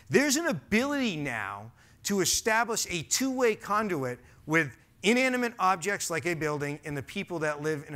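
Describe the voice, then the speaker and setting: A man's voice: on the high side, bright, forceful tone. A man in his forties with a Boston accent, giving an earnest, emphatic talk on a stage with a headset mic. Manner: earnest, emphatic, gestures while speaking. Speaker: conference speaker. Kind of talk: stage presentation. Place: large dark auditorium stage.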